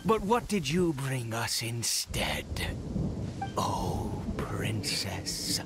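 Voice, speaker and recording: An actor playing a grief-stricken, fanatical nobleman in a video game, speaking theatrically and swinging between hushed and exultant delivery. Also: medium pitch, big pitch swings, extremely slow. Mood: scornful, bitter. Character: grief-stricken, fanatical nobleman father devoted to a dark power. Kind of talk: dramatic video game voice acting. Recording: loud rain and thunder noise behind.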